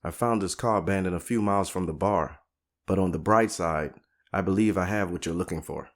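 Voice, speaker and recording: A man's voice: sits low, a little fast. A man playing a guarded, plain-spoken barbershop owner standing firm against mobsters in an audio drama. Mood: calm, resolute.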